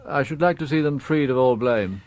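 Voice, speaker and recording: A man playing a dignified, middle-aged upper-class father in a radio drama, confessing in formal, halting, precise phrases. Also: mid-range voice, darkish tone, no room sound, lightly acted. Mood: grave, guarded.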